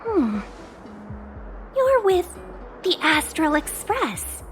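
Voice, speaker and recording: High-pitched, honeyed young envoy. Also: female voice, big pitch swings, extremely slow, heavily acted. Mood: puzzled. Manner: polite, formal, honeyed. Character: courteous, coy young woman trade envoy and guide. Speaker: guide and envoy. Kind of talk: video game voice acting. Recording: faint music behind the voice.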